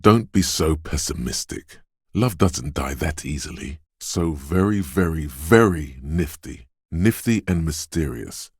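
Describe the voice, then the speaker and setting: A man voicing a detective's quick-witted inner voice in a video game, narrating in a measured, deliberate way with short, wry, alert asides. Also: a bass voice, expressive, slightly rough, no echo, clean studio sound, heavily acted. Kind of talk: scripted game narration, second-person inner voice.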